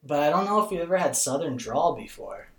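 A man's voice: a little high, sing-song, a little fast, vocal fry. A man hosting a podcast, talking in a casual, slangy, laid-back way. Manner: laid-back, slangy, calls people bro. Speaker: podcast host. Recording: roomy echo.

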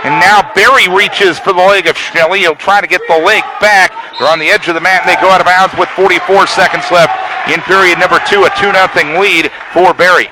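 High-pitched man calling sports over crowd roar. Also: steady tone, fairly quick. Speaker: play-by-play sports announcer. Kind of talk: live radio sports commentary. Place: high school gymnasium during a live meet. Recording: very noisy live gymnasium crowd recording. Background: loud crowd and gym noise.

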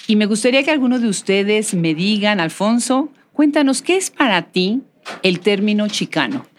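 A woman's voice: mid-range voice, animated, normal speed. A woman hosting a live interview in an effusive, welcoming, conversational way. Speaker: podcast host. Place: book fair in Mexico City.

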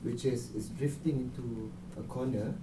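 A man's voice: mid-range voice, fairly even intonation, normal speed, background noise. A young man from Malaysia, a student, asking a question from the audience, hesitant with many restarts. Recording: distant audience mic in an echoey hall.

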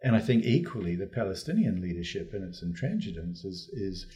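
A man being interviewed in an unscripted discussion, speaking in a halting, searching way and often reworking his phrases. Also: deep voice, very animated, dark tone. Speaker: interviewee giving his views on politics.

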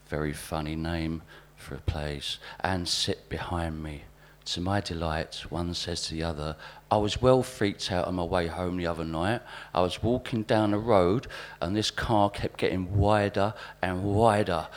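Deep-voiced man, wryly reading aloud. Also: unhurried, few pauses. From South East London, England. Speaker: writer and performer reading own pieces. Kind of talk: spoken-word reading of overheard snippets to a live audience.

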